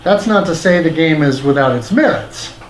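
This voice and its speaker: A man's voice: on the high side, animated, moderate pace, a little hiss or hum. A man reading a scripted video game review as its narrator.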